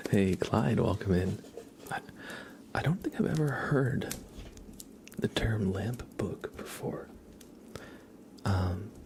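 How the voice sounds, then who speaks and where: A man hosting an ASMR livestream, chatting casually in a breathy whisper and soft voice, with hesitant, bantering asides. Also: on the low side, a little hiss or hum.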